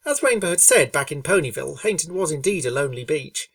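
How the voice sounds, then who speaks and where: Low-voiced woman reading a story. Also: fast talker, no echo, studio quality, distant mic. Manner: calm, steady reading. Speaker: fanfiction reader. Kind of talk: storybook narration.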